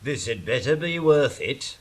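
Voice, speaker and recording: Actor as bossy Northern English Duke, echoey. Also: male voice, mid-range voice, very animated, unhurried. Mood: bossy, irritated. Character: gruff bossy Northern Duke leading invaders. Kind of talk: comic radio-play acting. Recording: echoing, roomy sound.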